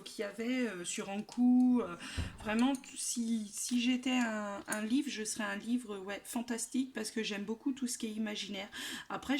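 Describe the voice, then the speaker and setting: A woman's voice: medium pitch, a little mellow. Thirties woman just chatting on her youtube channel, super informal, rambles and repeats herself. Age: 30s. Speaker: YouTube creator. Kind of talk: casual vlog chat, answering a tag questionnaire.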